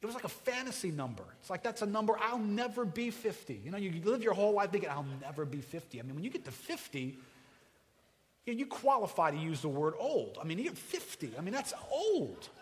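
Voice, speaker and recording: A man in his forties preaches a sermon with a slightly high voice. He talks conversationally and jokes with the congregation, dropping to a hush and then rising loud. The sound carries a long reverb from the hall. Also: very animated, a little fast. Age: 40s. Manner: conversational, joking with the congregation, swings from hushed to loud. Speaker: pastor.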